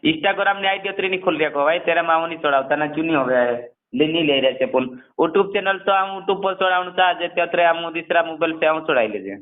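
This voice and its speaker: A man's voice: a little high, quick pace. A man in a casual phone conversation, explaining things at length and repeating himself, on a narrowband call recording.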